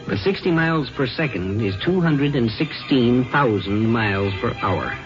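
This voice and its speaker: A man narrating a 1950s radio drama from a script in a deliberate, dramatic manner. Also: mid-range voice, animated, over music. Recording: muffled 1950s radio recording.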